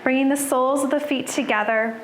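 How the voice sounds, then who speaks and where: A woman yoga instructor guiding a class in a soothing, unhurried manner, leaving pauses between her cues. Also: high voice, steady tone. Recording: roomy echo with background noise.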